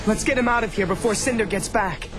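A man's voice: high-pitched, quick pace, background noise, natural voice. An actor playing an earnest, brave young male dragon in a video game cutscene. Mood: determined.

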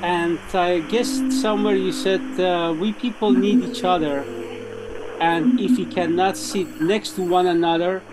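A man's voice: high-pitched, steady tone, a little slow, heavy background noise. A man asking questions as a journalist in a conversational interview.

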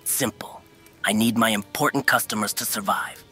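A man's voice: fairly low pitch, moderate pace, bright, forceful tone. A man playing a polite, shrewd wandering merchant with a salesman's manner in a comic dub. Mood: helpful salesmanship. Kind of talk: manga comic dub acting. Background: music under the dialogue.

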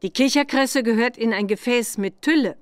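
Actress as older witch instructor, fairly high voice, unhurried, patient, dry close sound, children's game. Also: clear, resonant tone, no echo, close to an everyday voice. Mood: patient, instructive.

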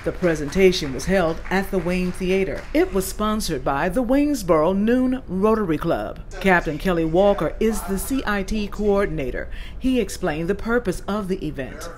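A woman's voice: lowish voice, expressive, normal speed, few pauses, a little mellow. Woman reporter reading scripted news.

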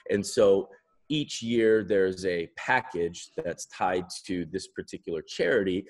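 A middle-aged man from the American Midwest with an American accent, telling stories casually as an interview guest, rambling and pausing mid-thought. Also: sits low, darkish tone. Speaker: interview guest, winery founder. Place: indoor room at home, on a video call.

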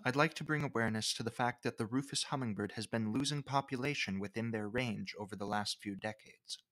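A man reading scripted educational nature narration in a measured, formal way. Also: mid-range voice, a bit bright.

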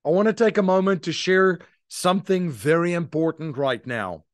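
Podcast host guy, 30s, earnest and emphatic, kinda high voice, dry room. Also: moderate pace, no room sound. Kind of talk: interview questions and scripted ad read. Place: room with gray backdrop.

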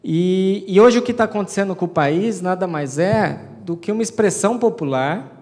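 A man's voice: high voice, normal speed, a little mellow. Man in his thirties, Brazilian Portuguese accent, mayor's public address, conversational, reverberant hall. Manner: informal, conversational, frequent fillers. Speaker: city mayor. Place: large auditorium, seated at a panel table. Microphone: handheld mic.

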